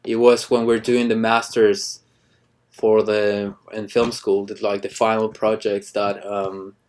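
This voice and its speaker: A man in his thirties from Ecuador talks in a low, fairly flat voice. He chats loosely as an interview guest, stumbling through restarts and fillers. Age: 30s. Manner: halting, many restarts and fillers, relaxed. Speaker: cinematographer guest. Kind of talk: casual interview chat.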